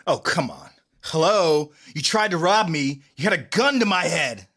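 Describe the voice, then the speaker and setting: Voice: medium pitch, expressive, normal speed, a bit bright, slightly rough. A performer reading an exasperated, bitter husband in a comic table read, sounding irritated, sarcastic and defensive. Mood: indignant.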